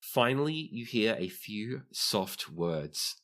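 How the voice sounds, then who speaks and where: Low-voiced man narrating as game master. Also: big pitch swings, slow pace, a bit bright. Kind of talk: narrating a tabletop roleplaying game.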